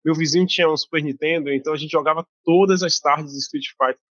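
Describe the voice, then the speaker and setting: A man's voice: fairly high pitch, steady tone, fast, fairly bright tone, no echo, dull audio. A man from Bahia, a guest author talking casually in an interview, with many fillers and restarts. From Bahia, Brazil.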